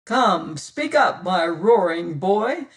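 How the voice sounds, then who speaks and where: A reader voicing a menacing male pirate captain who mocks his crew and barks orders loud and slow. Heard from a distance, with a slightly raised pitch. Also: animated. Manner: taunting, commanding, drawn-out menace. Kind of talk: audiobook character voice.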